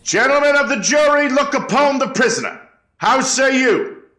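A colonial court clerk, played by a man, proclaims in a high voice with ceremonial formality. His ritual, declamatory delivery rings in an echoey room, as in a historical trial reenactment. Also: lively intonation, moderate pace, bright, forceful tone, full character voice. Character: formal eighteenth-century court clerk taking jury verdicts.

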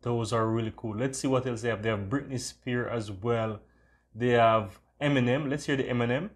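A man's voice: medium pitch, normal speed. A man hosting a casual screen-recorded tutorial, talking in an enthusiastic, repetitive way. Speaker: tutorial host.

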